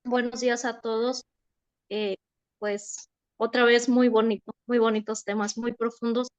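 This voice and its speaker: Gentle woman, dry sound. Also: fairly high pitch, little pitch movement, unhurried, clean tone, no room sound, muffled recording. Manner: gentle, reflective, uses fillers like "pues". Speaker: study group participant. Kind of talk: spiritual group discussion.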